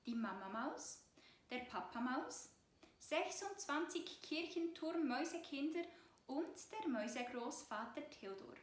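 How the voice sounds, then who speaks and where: A woman's voice: sits high, animated, unhurried, slight background noise. A woman narrating a storybook tale for children as a storyteller. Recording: roomy, echoing voice recording.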